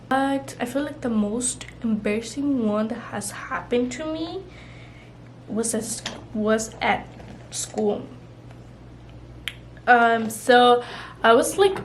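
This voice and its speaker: A woman's voice: on the high side, a little hiss or hum. A young woman vlogger chatting casually while doing her makeup, rambling with lots of "like" and "you know". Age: young adult. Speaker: beauty vlogger.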